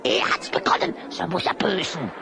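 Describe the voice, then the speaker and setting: An actor playing a rabble-rousing orator, declaiming in theatrical rhyme in an audio drama for children. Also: male voice, sits high, expressive, normal speed, gravelly, very noisy. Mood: fiery agitation. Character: rabble-rousing male orator whipping up an angry crowd.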